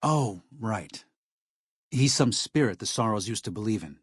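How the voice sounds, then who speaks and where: An earnest, gentle missionary, dry sound. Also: male voice, fairly low pitch, expressive, a little slow, husky, no echo. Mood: calm explaining. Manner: earnest, soft-spoken, hesitant pleading. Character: gentle, earnest male missionary, devout and worried for his flock. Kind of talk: video game character dialogue.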